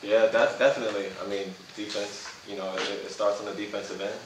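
A basketball player answering postgame questions in a relaxed way, with lots of fillers. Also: male voice, fairly low pitch. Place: echoey press room. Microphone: distant room mic. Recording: echoey room audio from far off with noise.

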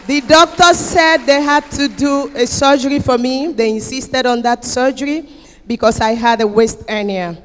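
High-voiced emphatic woman testifying in church. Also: moderate pace. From Equatorial Guinea. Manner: emphatic, declamatory, repeating phrases. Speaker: church member giving testimony. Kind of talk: emotional testimony to a congregation. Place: church auditorium. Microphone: handheld microphone. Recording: echoey hall PA with music behind.

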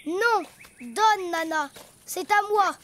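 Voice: extremely high pitch, slow pace, pushed and bright, heavily acted. An actor voicing a cheerful, imaginative little boy donkey in a preschool cartoon, childlike and full of wondering exclamations. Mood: bargaining. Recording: music behind the voice.

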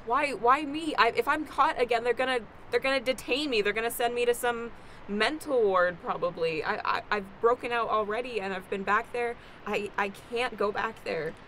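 A woman's voice: on the high side, normal speed, a bit bright, close to an everyday voice, rough recording. A woman playing an anxious, hesitant young heroine in an improvised radio play, stammering in a natural, everyday way. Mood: panicked. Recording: faint background noise.

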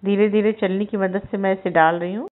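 Female cooking-channel host, calm and instructive, talks through every step as she cooks. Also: mid-range voice, brisk, narrow, tinny audio. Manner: calm, instructive, narrates each action as she does it.

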